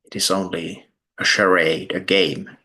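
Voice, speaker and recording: A man leading a guided meditation in a deliberate, measured way, leaving long pauses between short phrases. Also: deep voice, fairly even intonation, pushed and bright, husky, rough recording. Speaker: meditation teacher.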